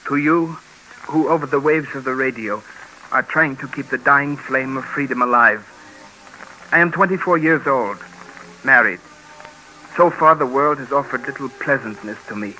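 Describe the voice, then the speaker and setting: An actor playing a weary, earnest young Eastern European survivor in a 1950s radio documentary, speaking in solemn, measured, formal pleas. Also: male voice, medium pitch, pushed and bright, faint music behind, poor audio. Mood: solemn, suffering. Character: weary 24-year-old married Eastern European survivor, earnest letter writer.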